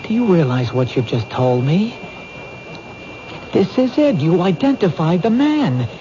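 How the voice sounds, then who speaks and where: Protective police detective, fairly high voice, insistent, determined, 1970s radio drama, muffled recording. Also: male voice, sing-song, a little slow, noisy background. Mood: urgent, deducing. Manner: insistent, reasoning aloud.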